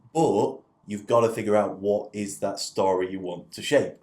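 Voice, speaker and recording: A man's voice: lowish voice, measured pace, a little mellow. A man speaking as a podcast guest, explaining things conversationally and posing rhetorical questions. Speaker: podcast guest, digital influence facilitator. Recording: roomy, echoing indoor sound.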